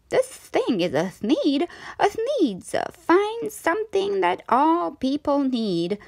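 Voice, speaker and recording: An eager, self-satisfied salesman from a picture book, played by a woman. The patter is breezy, leaping up and down in pitch, in a close dry recording. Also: no room sound, full character voice. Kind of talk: children's storybook character voice.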